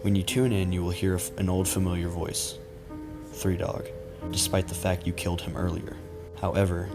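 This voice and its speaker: Very flat, low-voiced man narrating. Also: vocal fry. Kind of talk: scripted creepypasta narration. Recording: music behind the voice. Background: background music.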